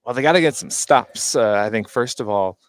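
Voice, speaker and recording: Mid-pitched man giving halting basketball analysis. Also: dry recording. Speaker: basketball color commentator. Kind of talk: unscripted radio sports analysis.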